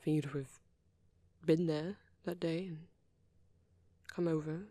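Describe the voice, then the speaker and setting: A woman voicing a shy, awkward young vampire boyfriend in an ASMR roleplay, hesitant and rambling, with a soft, breathy delivery. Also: low-pitched, fairly even intonation, dry recording. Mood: flustered, affectionate. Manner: hesitant, halting, rambling, nervous.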